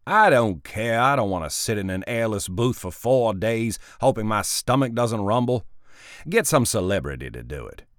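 A man voicing an irritable, wealthy male tech boss who is brash, impatient and quick to swear. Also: on the low side, sing-song, no echo, clean studio sound, pitched above the performer's own voice.

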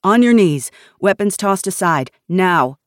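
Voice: mid-range voice, expressive, fairly quick, dry recording, studio quality, lightly acted. A narrator voicing tense, armed male guards in an audiobook, barking clipped commands. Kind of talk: audiobook character dialogue.

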